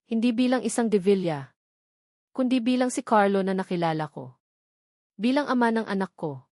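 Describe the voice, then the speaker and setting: A woman's voice: mid-range voice, lively intonation, normal speed, a little mellow, no echo, studio quality, natural voice. A woman voicing a wary, firm young pregnant woman in an audiobook romance drama, with earnest resolve. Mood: steady, resolute.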